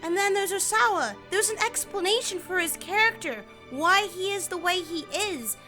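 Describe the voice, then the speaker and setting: High-pitched, animated young American woman reviewing games. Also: moderate pace. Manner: animated, emphatic, sarcastic, casually sweary. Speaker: video game reviewer. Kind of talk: scripted video review with casual asides. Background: background music.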